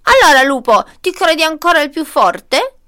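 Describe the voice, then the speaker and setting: A stern storybook mother goat, acted by a woman. Her voice is raised and fairly high, mocking and accusing, protective of her young. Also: very animated, moderate pace, bright, forceful tone, full character voice. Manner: accusing, taunting.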